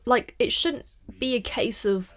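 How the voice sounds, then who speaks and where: A woman's voice: fairly high pitch, measured pace, fairly bright tone. A young woman in her late teens talking in an earnest, ranting way. She stops and restarts often and leans on fillers while making her point in a casual discussion. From half Thai, half British. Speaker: discussion participant. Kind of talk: casual conversation.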